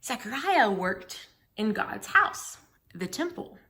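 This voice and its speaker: A woman's voice: fairly high pitch, sing-song, slow, sharp tone. A woman reading a Bible story aloud for young children, explaining things simply and putting questions to her young listeners.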